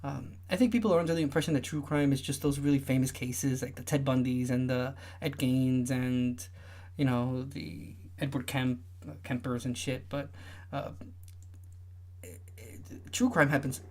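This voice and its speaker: A young man with a light, high voice that listeners often mistake for a woman's. He hosts a relaxed livestream, chatting loosely and filling gaps with lots of "uh"s and restarts. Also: normal speed. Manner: rambling, many filler sounds and restarts. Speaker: livestream host. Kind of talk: casual livestream chat.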